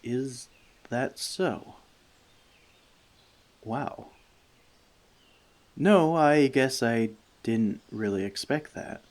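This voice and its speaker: A man playing a friendly, thoughtful forest elf in a soft-spoken ASMR roleplay, speaking to the listener in measured, slightly formal words. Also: mid-range voice, lively intonation. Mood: surprised, reflective. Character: friendly male forest elf, gentle, thoughtful, long-lived.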